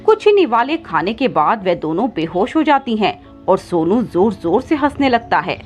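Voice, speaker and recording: A woman's voice: mid-range voice, sing-song, normal speed. A woman reading scripted narration as the storyteller of a children's tale. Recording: slightly dull audio with background music.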